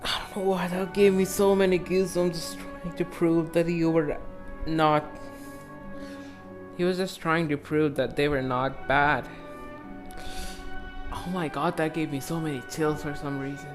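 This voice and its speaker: A man doing casual, rambling live reaction commentary on a game, excitable and prone to swearing and saying "like". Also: a little high, unhurried, pauses often, over music.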